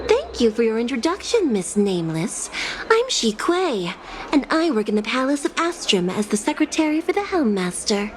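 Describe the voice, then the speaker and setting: A woman acting a courteous, formal secretary to a high official in a game, speaking politely in measured phrases. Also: very animated, noisy background. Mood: courteous, composed. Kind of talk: scripted game dialogue acting.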